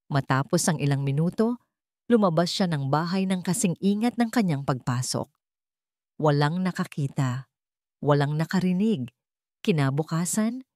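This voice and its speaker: A woman with a fairly low voice narrates a scripted drama. The recording is dry and close, with little room sound. She reads as a storyteller. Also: darkish tone, no room sound.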